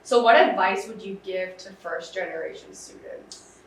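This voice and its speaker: A woman's voice: mid-range voice, moderate pace, slight background noise. Young woman host, stuffy nasal voice, friendly, very echoey, far from mic. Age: young adult. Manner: friendly, with many "mm" backchannels. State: stuffy nose, a little nasal. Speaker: host, student interviewer. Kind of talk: casual interview hosting. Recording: very echoey room, away from the mic.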